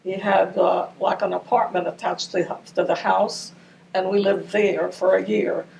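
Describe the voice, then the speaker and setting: Older woman with a gravelly voice. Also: mid-range voice, steady tone, a little slow, darkish tone. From Ramallah. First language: Arabic. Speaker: interviewee. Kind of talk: oral history interview. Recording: distant mic with faint noise.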